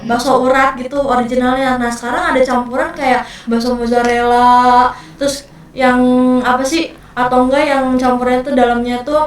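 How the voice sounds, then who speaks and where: A woman's voice: high-pitched, level tone, normal speed. Young woman in a very echoey room. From Indonesia. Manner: explains with many fillers and restarts. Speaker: podcast guest. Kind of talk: casual chat in a group. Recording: very echoey room, mic far off.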